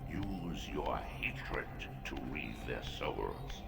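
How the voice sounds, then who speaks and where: An actor playing an ancient, imperious god in a video game, gravelly and booming, with grave, portentous pronouncements. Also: male voice, big pitch swings, extremely slow, exaggerated voice. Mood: urging, ominous. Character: ancient, commanding male elder god, cold and imperious. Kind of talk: dramatic video game voice acting. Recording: echoing voice under loud music.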